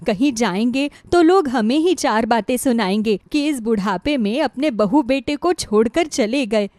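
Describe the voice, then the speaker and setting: A woman's voice: on the high side, expressive, a little slow, darkish tone, no room sound. A woman voicing a meek, wronged elderly mother-in-law in a cartoon story, plaintive and resigned. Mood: sad, resigned. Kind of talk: Hindi cartoon moral-story dialogue.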